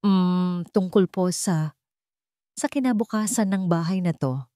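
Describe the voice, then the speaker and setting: Woman reading as a guilty grown son in a storybook tale, low, dry, evasive and hesitant. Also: measured pace, warm tone, no echo, natural voice.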